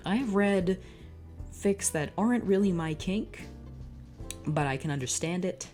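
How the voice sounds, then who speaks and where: A woman's voice: very deep voice, a little mellow. A young woman reading a fan fiction aloud and breaking off into exasperated, sarcastic comments. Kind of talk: dramatic reading with comic asides.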